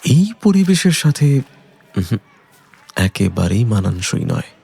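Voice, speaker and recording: A man giving a dramatic, suspenseful scripted narration of a detective story in a measured way. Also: mid-range voice, sing-song, vocal fry, dark tone, slightly rough.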